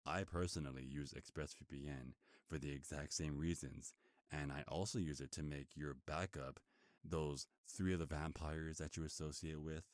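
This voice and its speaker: Casting: male actor for smug werewolf captor, ASMR roleplay. Rock-bottom pitch, very dry sound, seductive, possessive, taunting delivery. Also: no echo. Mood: smug persuading. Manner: taunting, possessive, smooth-talking.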